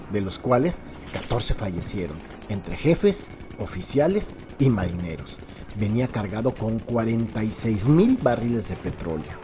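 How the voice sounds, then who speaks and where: A man with a Mexican accent narrates a crime radio serial as a dramatic scripted story, addressing listeners directly in a theatrical way. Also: fairly low pitch. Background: background music.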